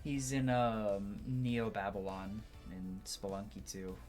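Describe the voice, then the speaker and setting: A man's voice: fairly low pitch, little pitch movement, slow pace, a little mellow, faint music behind. A man hosting a gaming playthrough, chatting casually in slang and thinking aloud as he plays. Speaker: gaming video host.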